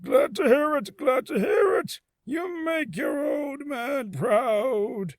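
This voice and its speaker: A man voicing a proud, sentimental old shopkeeper father from a video game, homely and unhurried. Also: very high-pitched, lively intonation, no room sound, raised voice, clean studio sound, exaggerated voice. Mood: proud, fond. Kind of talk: video game character voice acting.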